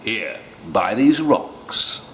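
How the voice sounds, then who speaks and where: A man voicing a polite, cunning little mouse from a storybook, with a drawn-out, dramatic delivery. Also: lowish voice, lively intonation, a bit bright, slight background noise, exaggerated voice. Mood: matter-of-fact.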